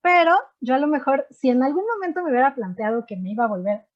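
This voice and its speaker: A woman in her thirties with a Mexican accent, talking through her teaching life in a relaxed, rambling way. Her voice sits a little high. The audio is dull and lo-fi, like a remote call. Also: fast. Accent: Mexican Spanish. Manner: chatty, frequent fillers like "este" and "pues". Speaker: guest, chemistry teacher. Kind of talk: relaxed radio interview chat.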